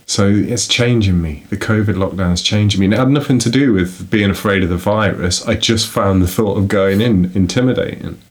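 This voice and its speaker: A young man with a low voice co-hosts a relaxed book podcast. He rambles cheerfully, tosses out puns and laughs at himself. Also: normal speed, hardly any pauses. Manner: playful, punning, self-deprecating. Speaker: podcast co-host. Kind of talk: casual book podcast chat.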